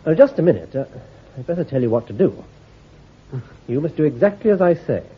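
An actor playing a shrewd army intelligence commander in a radio drama, issuing careful orders in a clipped, authoritative way. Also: male voice, a little high, very animated, a little fast, slight background noise. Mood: commanding. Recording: tinny, phone-like old recording.

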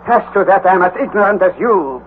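A bold, authoritative older scientist holds forth in a high, lively voice. He speaks in theatrical old radio drama style. The sound is a thin, phone-like broadcast with faint hiss. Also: fast talker. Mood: steady, resolute. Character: older male scientist uncle, bold and commanding. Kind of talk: 1940s radio acting.

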